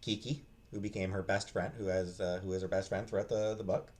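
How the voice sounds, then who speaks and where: A man giving a casual, unscripted book talk in a halting way, searching for his words. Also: low voice, flat delivery, a little mellow. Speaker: book reviewer, host.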